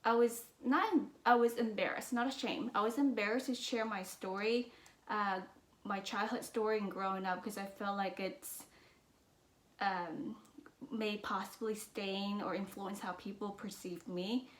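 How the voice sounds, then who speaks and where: A woman's voice: medium pitch, steady tone, a little slow. A woman from Vietnam with as her talks earnestly and reflectively to her channel, with many fillers and restarts. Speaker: business and mindset vlogger. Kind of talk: personal talk to camera. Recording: roomy echo.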